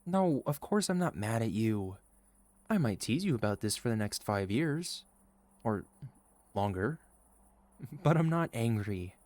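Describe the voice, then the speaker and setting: A man playing a sweet, caring, gently teasing boyfriend in a soft, reassuring ASMR roleplay. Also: lowish voice, very animated, a little slow, long pauses, dry recording. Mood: relieved, teasing. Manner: soft, tender, reassuring, playful. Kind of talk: ASMR boyfriend roleplay, intimate scripted monologue.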